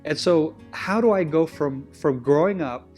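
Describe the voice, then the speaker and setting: A man's voice: fairly high pitch, unhurried, darkish tone, faint music behind. A man from Israel with an Israeli accent, whose first language is Hebrew, appears as a guest and tells stories in a rambling way, often stopping to correct himself. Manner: rambling, self-correcting, with frequent restarts. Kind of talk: conversational interview storytelling.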